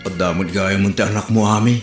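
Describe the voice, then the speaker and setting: A man's voice: deep voice, steady tone, brisk, reverberant, soft background music. A man with an Ilocano accent acting an exasperated single son in a comedy skit.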